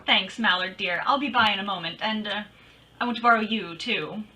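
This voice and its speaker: A woman's voice: mid-range voice, brisk, bright, forceful tone, slight background noise, lightly acted. A woman playing a bold, wisecracking private detective in an old-time radio drama, with a breezy, teasing, wry delivery. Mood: coaxing. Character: bold, wisecracking female private detective narrating her case.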